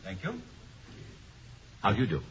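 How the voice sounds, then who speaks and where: An actor playing a proud, formal older scholar with a dry, self-satisfied manner in a 1950s radio comedy-drama. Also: male voice, fairly low pitch, huge pitch swings, unhurried. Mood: brisk, polite. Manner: formal, pompous. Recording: muffled old 1950s radio recording.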